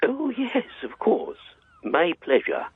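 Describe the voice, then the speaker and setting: An actor playing an elderly man in his eighties, a genial, slightly deaf family friend with a husky, gravelly voice. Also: high-pitched, wildly swooping pitch, slow, phone-quality sound, exaggerated voice. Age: 80s.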